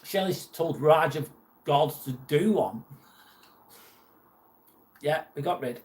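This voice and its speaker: A man's voice: on the high side, slow pace, a little mellow. An English man hosting a live stream, chatting casually, reading out viewer comments and joking about a troll. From England. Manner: chatty and jokey, mildly irritated by a troll.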